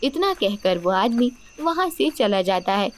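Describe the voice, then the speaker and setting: A woman's voice: medium pitch, sing-song, unhurried, background noise. A woman reading scripted storytelling narration as the narrator of a tale. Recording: loud music bed behind voice.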